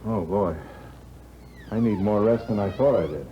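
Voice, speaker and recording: An actor playing a skeptical young husband who teases and jokes dryly, in a 1950s radio drama. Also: male voice, lowish voice, moderate pace, close to an everyday voice. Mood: wry. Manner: wry, teasing, lightly sarcastic. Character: young husband, skeptical and joking new lord of the manor. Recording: dull, faintly hissy 1950s radio broadcast.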